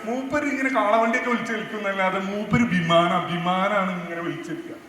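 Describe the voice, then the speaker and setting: A man's voice: high-pitched, fairly even intonation, quick pace, pushed and bright. A man with a Malabar Malayalam accent playing a boastful, bossy senior air traffic officer on a comedy stage, bragging and stammering over repeated words. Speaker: skit actor. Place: stage in a large hall. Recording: echoey hall, faint noise.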